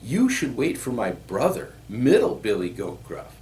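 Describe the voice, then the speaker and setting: Man doing a high, piping little billy goat. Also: wildly swooping pitch, a little slow, a little mellow, recorded from a distance, slight background noise. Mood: frightened, pleading. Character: little young male billy goat, timid but crafty. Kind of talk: storybook character voice.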